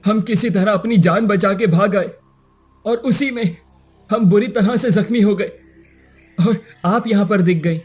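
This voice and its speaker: Guy doing a desperate hurt prince in a cartoon, high rough voice, begging urgently, music under it. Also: measured pace, a little mellow. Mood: urgent, anguished. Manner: urgent, dramatic pleading. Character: wounded prince, desperate, pleading for help. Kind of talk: Hindi cartoon moral story dialogue.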